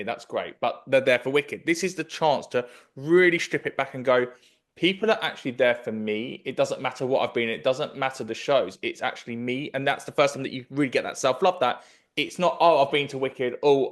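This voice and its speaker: Fast-talking podcast host, a man. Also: medium pitch, fairly bright tone. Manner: gushing, effusive. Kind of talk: enthusiastic interview chat. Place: home kitchen, indoors. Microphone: desk microphone, close.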